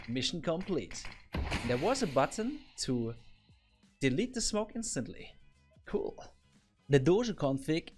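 Upbeat man coaching through gameplay. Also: fairly high pitch. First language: German. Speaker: gaming coach. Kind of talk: game tutorial narration. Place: home office. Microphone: boom arm studio mic.

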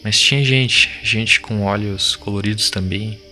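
An actor playing a shaken, defiant village boy in an audio drama, speaking in urgent, halting slang. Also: fairly low pitch, steady tone, bright, forceful tone, soft background music. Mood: awed. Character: shaken, defiant village boy urging his friends to flee.

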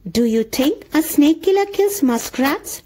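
A brave young male mongoose from a children's storybook, played by a woman reader. He sounds calm and confident, slightly scornful of fear. His voice sits a little high and stays soft and mellow. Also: measured pace.

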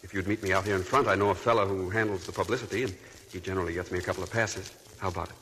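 A man's voice: deep voice, steady tone, racing, a bit bright, slight background noise. An actor playing a charming, aimless young man from an old family, in 1940s radio acting, wistful and self-mocking. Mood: wooing. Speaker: Robert Manette. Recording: old radio broadcast with faint music.

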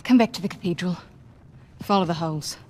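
A woman's voice: fairly low pitch, a little fast, a little mellow, lightly acted. Tough female mercenary boss played by a woman for an action game: controlled, curt, threatening, faint noise behind. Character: tough, commanding female mercenary leader holding captives at gunpoint.

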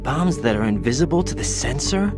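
An earnest young male special forces agent played by a man in a video game, speaking at a medium pitch. He questions and reports seriously, with music clearly behind him. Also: animated, brisk, natural voice. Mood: alert, questioning. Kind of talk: video game voice acting over radio call.

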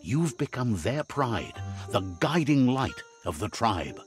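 A man's voice: mid-range voice, sing-song, takes it slowly. A man playing a solemn storybook narrator in a video game, speaking scripted lines over music.

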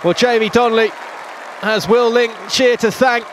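Male live football commentator, excited and very high-pitched, over clearly audible stadium noise. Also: moderate pace. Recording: noisy stadium with crowd behind. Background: crowd noise.

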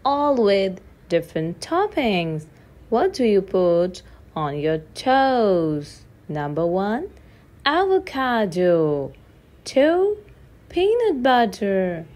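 A woman reading a children's picture book aloud in a deliberate, sing-song way, counting and asking questions. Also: medium pitch, darkish tone.

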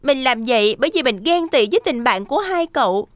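Tiny cartoon fairy girl, emotional, very high voice, dry recording over music. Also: animated, a little fast, fairly bright tone, no room sound. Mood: ashamed, pleading. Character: small, emotional, jealous young female fairy. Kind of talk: dubbed children's cartoon acting.

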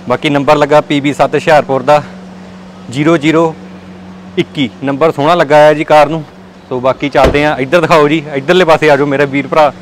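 A young man with a Punjabi accent walking through a large indoor car showroom, repeating a friendly sales pitch to viewers he calls brothers. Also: slight background noise, rough recording. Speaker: car dealer showing stock. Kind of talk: walkaround sales tour.

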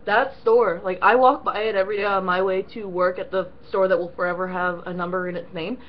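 A young woman co-hosting a casual, profane rant, animated and full of fillers like "um" and "like". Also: mid-range voice, a little fast, muffled recording, slight background noise. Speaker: co-host. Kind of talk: casual ranting chat.

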